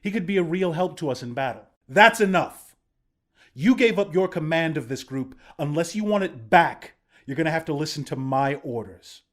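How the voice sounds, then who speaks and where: A man's voice: fairly high pitch, expressive, normal speed, lightly acted. A man playing a battle-hardened resistance commander in a video game, plain-spoken, protective and reassuring. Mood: firm, commanding. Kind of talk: video game character voice lines.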